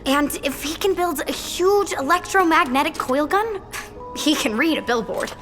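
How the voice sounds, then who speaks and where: A woman's voice: high voice, lively intonation, moderate pace, fairly bright tone. An actress playing a brainy, earnest, decisive teenage girl who leads her friends in an audio adventure drama. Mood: explaining. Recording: echoey hall, music underneath.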